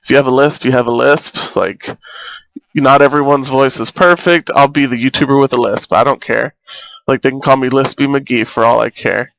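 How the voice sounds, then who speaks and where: A man's voice: mid-range voice, normal speed, creaky, poor audio. Chatty young man on an earbud mic. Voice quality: slight lisp. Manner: chatty, rambling, easily excited. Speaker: gaming YouTuber. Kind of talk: casual let's-play commentary. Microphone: cheap earbud microphone. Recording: thin, robotic-sounding earbud mic.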